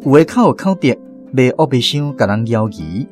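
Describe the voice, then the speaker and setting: A man with a Taiwanese accent narrates a scripted story in a folksy way. His delivery is lively, with wide swings in pitch, over faint plucked-string music. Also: normal speed. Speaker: narrator of a radio drama.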